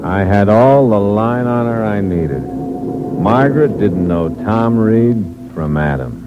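An actor playing a cold, scheming impostor and killer in a 1940s radio mystery, terse and slangy in a hard-boiled way. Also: male voice, on the low side, animated, takes it slowly, over loud music. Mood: cold narrating. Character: scheming cold male impostor and killer narrating his crime. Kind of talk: 1940s radio mystery acting, confessional narration. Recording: muffled old radio recording, background hiss.

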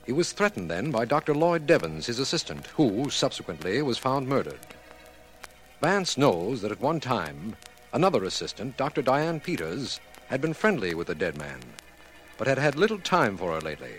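An actor playing a district attorney who narrates a murder case in scripted 1940s radio style. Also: male voice, medium pitch, big pitch swings, normal speed, fairly bright tone, rough recording. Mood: dramatic, expository. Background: music.

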